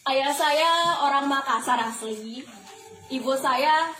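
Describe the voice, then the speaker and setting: High-voiced young woman giving an echoey testimonial. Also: slow, sharp tone. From the Philippines, Malaysia and Indonesia. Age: 20s. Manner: emphatic, with rhetorical questions and repetition. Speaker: flight attendant giving a testimonial. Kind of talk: motivational testimonial to an audience. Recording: echoey, noisy room.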